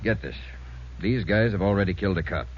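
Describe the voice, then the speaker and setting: Man voicing a grim police captain, very deep voice, measured commanding briefing, old radio play, muffled, faint hiss. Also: natural voice. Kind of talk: 1950s radio drama acting. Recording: dull lo-fi old radio broadcast with faint noise.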